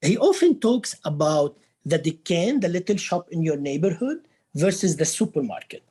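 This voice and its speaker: A man with a Beirut Lebanese accent talks on a conference panel in a lively, sing-song way, his pitch climbing and falling. His voice has a husky edge. He restarts phrases and keeps checking in with his listeners. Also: moderate pace. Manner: animated, repeats words, frequent tag "right?". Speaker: professor of Arabic, panel guest. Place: conference stage.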